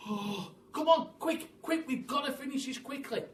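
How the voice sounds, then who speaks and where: Man as a bossy, high-voiced monkey leader. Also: moderate pace. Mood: anxious, urgent. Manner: urgent, instructing, listing. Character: bossy, eager male monkey, leader of the animals. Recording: roomy, distant mic, faint hiss.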